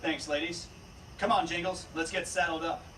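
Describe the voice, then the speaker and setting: A man playing a steady, resolute Old West federal marshal in a Western radio drama. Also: on the high side, normal speed, lightly acted. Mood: deductive. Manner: confident, commanding. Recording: distant stage microphone, noisy room.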